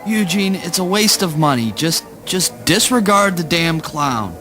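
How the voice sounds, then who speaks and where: Man playing a bleak, forceful-voiced father. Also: on the high side, sing-song, measured pace, faint music behind, heavily acted. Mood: weary, irritated. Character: weary, morbid, dismissive father of a slow son. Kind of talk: theatrical spoken word audio play.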